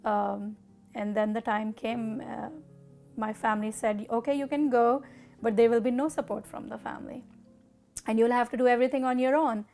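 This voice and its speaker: Pakistani-accented woman with a creaky voice. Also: a little high, steady tone, normal speed, warm tone, faint music behind. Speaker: artist interviewee. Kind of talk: personal interview storytelling.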